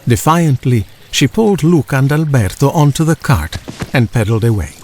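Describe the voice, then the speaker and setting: A man's voice: mid-range voice, animated, normal speed, darkish tone, slight background noise. A man reading a children's storybook as a scripted audiobook narrator.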